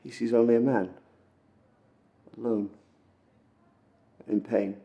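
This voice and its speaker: A man acting a dramatic monologue as an earnest, wistful storyteller recounting an old legend, with intense pauses and swells. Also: medium pitch, steady tone, vocal fry, warm tone. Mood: tender, rising wonder. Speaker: amateur actor performing a film monologue.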